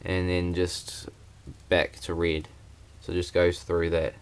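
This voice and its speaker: A man's voice: low voice, monotone, slow pace, smooth. A young man, talking hesitantly with many fillers in a casual vlog. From New Zealand. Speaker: vlogger.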